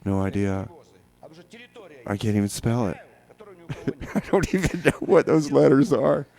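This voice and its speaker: A man hosting a radio show with casual, rambling DJ patter in a halting, tongue-in-cheek, mock-official manner. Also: medium pitch, lively intonation, gravelly, a little mellow.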